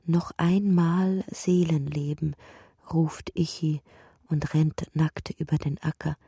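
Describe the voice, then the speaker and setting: An actress playing a brooding, introspective narrator of a dreamlike literary radio drama, with a soft, breathy, husky delivery. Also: female voice, deep voice, little pitch movement, dry recording, studio quality, heavily acted. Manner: measured, introspective delivery, sometimes sinking into whispers.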